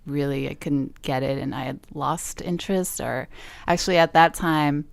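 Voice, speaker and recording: A woman's voice: low voice, normal speed, vocal fry, no room sound. A young woman from Southern California, chatting casually in an interview, with lots of likes and ums. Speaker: guest musician.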